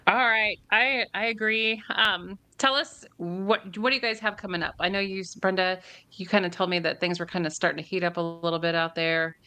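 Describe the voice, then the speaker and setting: A woman's voice: medium pitch, fairly even intonation, brisk, pushed and bright, clean tone. A woman hosting a casual interview podcast, upbeat and giggly, encouraging her guests. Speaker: podcast host.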